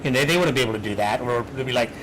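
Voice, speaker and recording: A man's voice: mid-range voice, rapid-fire, fairly bright tone, rough recording. A man hosting a casual chat, rambling with many restarts as he works through his thoughts. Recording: clear background noise.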